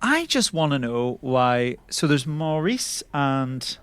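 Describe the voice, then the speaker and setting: Man, tabletop player, improvised role-play, highly animated intonation, medium pitch. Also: slow, smooth, no echo.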